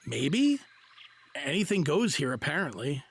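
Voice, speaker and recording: Reluctant young male quester, husky voice, wry and skeptical, lively pitch, faint music. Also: unhurried, heavily acted. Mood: puzzled. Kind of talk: audio drama acting.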